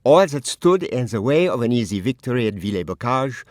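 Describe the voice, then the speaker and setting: A man narrating a war game cutscene in a serious, deliberate documentary style. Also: mid-range voice, sing-song, dry recording, clean studio sound, close to an everyday voice. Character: serious male narrator of a military briefing.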